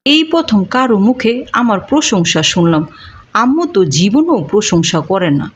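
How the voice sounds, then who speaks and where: A woman's voice: on the high side, very animated, normal speed. A woman voicing an outspoken, chiding young female character in a comedic cartoon, with a colloquial Bangladeshi accent. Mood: chiding, coaxing. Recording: noisy amateur dub audio.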